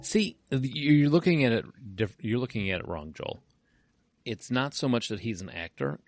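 A man's voice: mid-range voice, animated, moderate pace. A man in casual podcast chat about films, playful and fond of comic analogies. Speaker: podcast co-host. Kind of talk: casual movie review chat.

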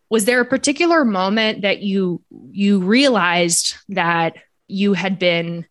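Woman podcast host, middle pitch, dead-dry close sound, plain-spoken and profane. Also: no room sound. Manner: blunt and profane, self-mocking. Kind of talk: casual podcast talk.

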